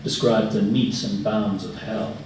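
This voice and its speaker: Echoey, distant low-voiced man reading poetry. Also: steady tone, measured pace. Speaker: poet reading at an event. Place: auditorium stage at a public reading. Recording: echoey auditorium, distant mic, noisy.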